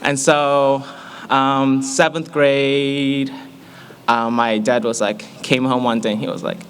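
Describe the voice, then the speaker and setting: A young man in his early twenties with an American accent, giving a humorous personal testimony to an audience in an animated, playful storytelling manner. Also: mid-range voice, takes it slowly, a little hiss or hum. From Malaysia.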